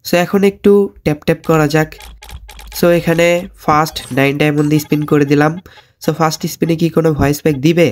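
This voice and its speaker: Fast-talking, high-pitched Bangladeshi male gamer. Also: little pitch movement, a little hiss or hum. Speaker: gaming YouTuber and host. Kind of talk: casual game commentary.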